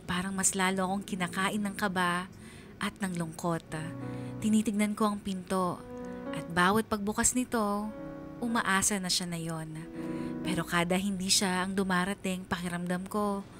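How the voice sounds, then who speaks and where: A woman's voice: mid-range voice, steady tone, normal speed, over music, lightly acted. A woman playing a lonely, anxious schoolgirl who narrates in the first person for a radio drama, sorrowful and confiding. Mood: anxious, sad.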